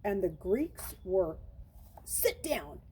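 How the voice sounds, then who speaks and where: A woman's voice: mid-range voice, big pitch swings, drawn-out words, dark tone, slight background noise. A woman voicing a stern male schoolteacher in a storybook, curt, sarcastic and commanding. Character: stern, mocking male schoolteacher lecturing his class.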